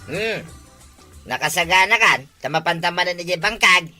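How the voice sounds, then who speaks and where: A woman with an Ilocano accent, low-pitched yet bright, scolding in a village comedy skit. Faint music sits behind her. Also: expressive, normal speed.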